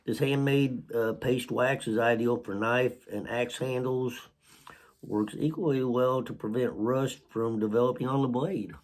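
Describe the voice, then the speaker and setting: A man hosting a casual unboxing vlog while sick with a cold, hoarse, halting, often clearing his throat, with a Southern US accent. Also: mid-range voice, steady tone. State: sick with a cold, voice partly lost. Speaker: channel host.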